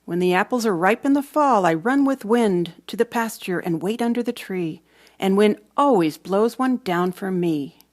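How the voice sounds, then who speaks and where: A woman's voice: mid-range voice, animated, moderate pace. Woman reading a children's story expressively. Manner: storytelling with dramatic emphasis and hushed moments. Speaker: storybook reader. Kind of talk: expressive children's read-aloud narration.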